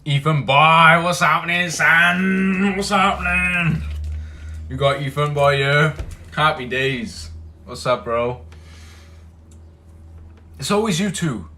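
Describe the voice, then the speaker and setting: A young Welsh man hosting a casual livestream, greeting viewers by name and chatting loosely, loud when he greets people and low and mumbled when he muses. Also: slow pace, pauses often. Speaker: livestream host. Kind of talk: casual livestream chat reading comments.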